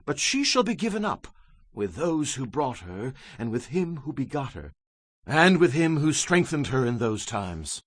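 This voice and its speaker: A man's voice: medium pitch, big pitch swings, unhurried, no room sound. A man giving a solemn, declamatory dramatized reading of scripture as a Bible narrator.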